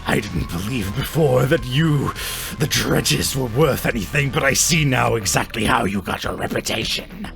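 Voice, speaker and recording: A menacing fighter, voiced by a man in a tabletop game, speaks formally and with disdain. His pitch sits in the middle range for a man, over faint music. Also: lively intonation, moderate pace, vocal fry. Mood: grudging respect.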